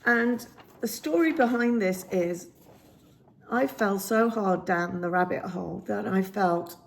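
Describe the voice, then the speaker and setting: Slow, croaky-voiced woman chatting about stitching. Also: medium pitch, darkish tone. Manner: rambling, many ums and restarts. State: slightly croaky voice. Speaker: cross stitch vlogger. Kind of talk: casual show-and-tell chat. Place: indoors at home by a window. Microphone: phone camera.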